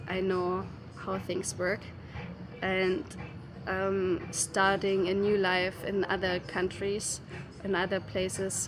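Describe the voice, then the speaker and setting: A young woman from Germany, talking casually to camera about her life, with pauses and fillers. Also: medium pitch. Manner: thoughtful, unhurried, with frequent pauses and fillers. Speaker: travel vlogger. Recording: music playing underneath.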